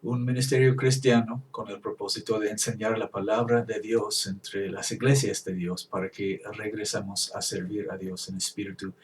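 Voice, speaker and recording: A man whose first language is English preaching a Bible lesson, halting and restarting at times, reading scripture slowly. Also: fairly low pitch, far from the mic, slight background noise, low-quality recording. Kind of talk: Bible teaching.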